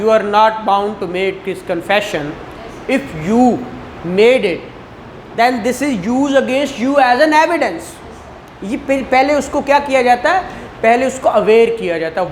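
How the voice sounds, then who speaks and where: A man's voice: very high voice, slight background noise. A man with a Pakistani Punjabi accent giving a didactic classroom law lecture, repeating points and questioning students. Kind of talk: classroom lecture, code-switching into English terms.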